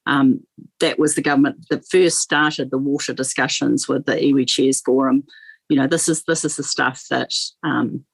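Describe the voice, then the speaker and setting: A woman's voice: rumbling low, fairly even intonation, normal speed, gravelly, breathy edge, no echo, rough recording. Earnest New woman, radio guest. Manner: earnest, many restarts and repeated words. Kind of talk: political talk radio interview.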